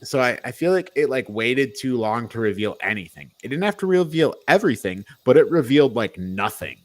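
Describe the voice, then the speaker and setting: A man's voice: mid-range voice, animated, fairly quick. Casual, slangy man reviewing a film. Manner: informal, slangy, opinionated. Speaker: podcast host leading a film review.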